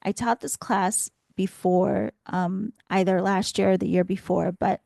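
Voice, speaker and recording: A woman hosting a spiritual podcast as a reflective, instructive monologue, her voice slightly scratchy and hoarse from much talking. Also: medium pitch, steady tone, unhurried, mellow, dry recording, clean studio sound. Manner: reflective, instructive, posing rhetorical questions. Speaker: podcast host and spiritual teacher.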